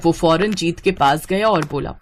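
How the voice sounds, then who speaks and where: A woman's voice: fairly low pitch, normal speed, slight background noise. A woman reading a scripted moral story as its narrator.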